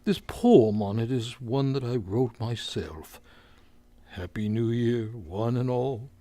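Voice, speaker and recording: An actor playing a genial, courtly undertaker with morbid wit, in the formal, drawn-out style of 1940s radio comedy. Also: male voice, mid-range voice, warm tone, close to an everyday voice. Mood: cheerfully solemn. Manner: formal, unhurried, drawn-out delivery with morbid puns.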